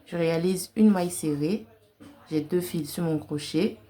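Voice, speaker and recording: A woman's voice: fairly low pitch, moderate pace, dark tone. A young woman giving a casual, chatty crochet tutorial in an informal, colloquial way. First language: not French-only, has a self-described slight accent. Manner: informal, girlfriend-to-girlfriend address with colloquial tags.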